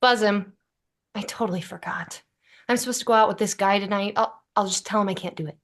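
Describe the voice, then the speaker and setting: A woman's voice: medium pitch, fairly quick, lightly acted. A woman acting a bossy, caring, wry young woman in a sitcom table read. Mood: wry, flustered.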